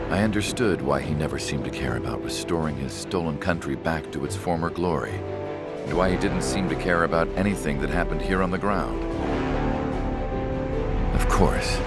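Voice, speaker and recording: An actor playing a reflective scientist who recounts his work in measured, brooding dramatic narration for a video game. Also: rumbling low. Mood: awed.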